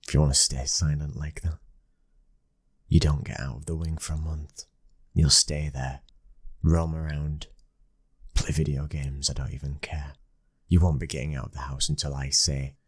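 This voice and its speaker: Very deep, husky British mafia husband acted by a man. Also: level tone, normal speed, no echo, studio quality. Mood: stern, exasperated. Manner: hushed intimate delivery slipping into whispers. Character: brooding young mafia boss's son, protective devoted husband. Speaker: ASMR roleplay performer. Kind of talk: intimate boyfriend roleplay ASMR acting.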